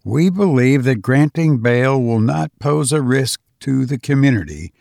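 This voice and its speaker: A man's voice: medium pitch, lively intonation, measured pace, creaky, darkish tone, dry recording, clean studio sound. A man voicing a seasoned veteran male defense attorney, composed and formal, in an audiobook. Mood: composed, firm.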